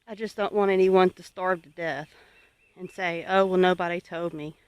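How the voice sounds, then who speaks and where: A homesteading channel host gives viewers advice in an earnest, exhorting and deliberate way. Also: medium pitch, steady tone, clean tone, no room sound, lo-fi sound.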